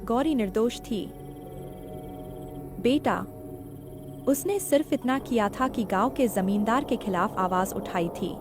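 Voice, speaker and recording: A woman voicing an anxious, sorrowful mother, grave and emotional, in an animated horror story. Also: a little high, unhurried, long pauses, close to an everyday voice. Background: music.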